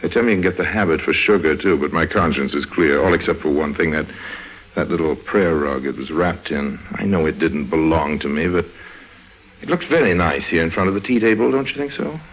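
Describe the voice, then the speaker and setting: Deep-voiced, sardonic radio rogue. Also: male voice, animated, rough recording. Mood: smug, amused. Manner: sardonic, unhurried, drily joking. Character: suave, wry adult male rogue narrator, coolly amused con man. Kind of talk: 1950s radio drama acting and narration. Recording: old 1950s radio recording.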